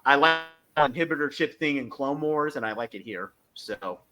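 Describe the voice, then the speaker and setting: Male podcast co-host, bright forceful voice, casual recap with halting, punched-up emphasis. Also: a little high, expressive, faint music behind.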